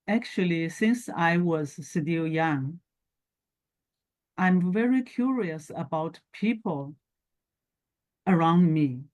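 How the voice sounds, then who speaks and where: A middle-aged woman from China with a Chinese accent, speaking haltingly in short phrases as a guest in an interview. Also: fairly low pitch, a little mellow. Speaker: guest, scientist and entrepreneur. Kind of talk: talk show interview, telling personal background.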